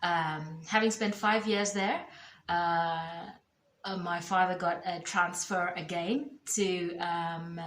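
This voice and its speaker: A young woman introducing herself in a thoughtful way, with many filler pauses. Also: fairly low pitch, steady tone, fairly bright tone, slight background noise. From born in the United Kingdom, raised in Zambia, Zimbabwe and Kenya. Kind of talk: self-introduction video. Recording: roomy echo.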